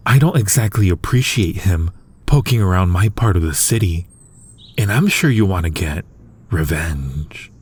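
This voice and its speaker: Man acting a husky, deep-voiced supervillain. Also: a little mellow, exaggerated voice. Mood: sly, coaxing. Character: male supervillain, smug yet protective toward his hero nemesis. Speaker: audio roleplay voice actor. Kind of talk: intimate ASMR-style audio roleplay acting.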